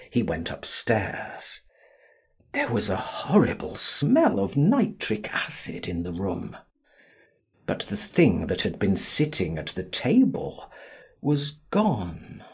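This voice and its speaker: A man narrating a classic novel as a dramatic audiobook, theatrical and deliberate in his delivery. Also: deep voice, huge pitch swings, breathy edge.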